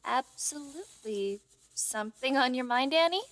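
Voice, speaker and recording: A woman's voice: high-pitched, lively intonation, normal speed, a bit bright, slight background noise. A woman playing an eager, playful, gossip-loving young confidante in a fantasy audio drama.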